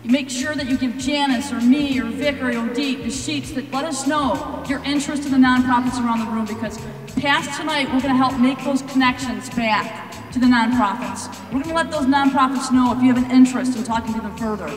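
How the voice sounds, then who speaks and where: A woman in her fifties with a loud voice, urging a crowd at a live event to get involved and start networking. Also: high voice, brisk. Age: 50s. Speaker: event organizer. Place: large hall at a live event. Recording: echoey hall voice from far off, music behind.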